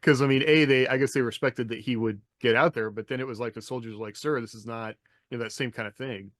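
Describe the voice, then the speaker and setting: A man's voice: medium pitch, steady tone, quick pace, fairly bright tone, dry recording. Man telling an anecdote, dry sound. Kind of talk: casual anecdote in panel chat.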